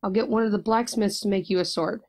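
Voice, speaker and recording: A woman's voice: mid-range voice, quick pace, darkish tone, close to an everyday voice. An actress playing a confident, blunt warrior woman in a fantasy audio drama, dry and matter-of-fact. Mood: reassuring, wry.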